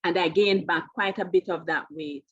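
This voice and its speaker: A woman's voice: on the low side, fairly even intonation, normal speed, husky, no room sound, dull audio. A woman in her fifties with a Jamaican accent, telling her personal story conversationally as an interview guest. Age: 50s. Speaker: interview guest, keto coach.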